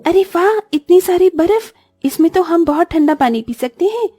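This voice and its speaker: A woman's voice: very high-pitched, lively intonation, moderate pace, dark tone, no room sound, studio quality, faint music behind. A woman voicing an eager, childlike orphan girl who exclaims often, in a cartoon story. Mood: amazed, eager. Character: excitable young orphan sisters in a cartoon. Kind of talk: Hindi cartoon story dialogue.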